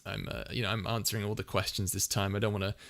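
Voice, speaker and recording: Rambling man with a soft voice. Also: lowish voice, little pitch movement, fast, vocal fry. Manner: rambling, full of fillers and restarts; soft, soothing voice. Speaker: ASMR channel host answering viewer questions. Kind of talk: casual livestream Q&A.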